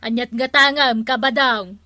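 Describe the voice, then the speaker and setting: Chatty village shopkeeper for radio comedy: superstitious, exclamatory, high-pitched, bright. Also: female voice, steady tone, measured pace, dry recording. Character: animated, superstitious village woman running a small shop. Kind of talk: radio comedy drama acting.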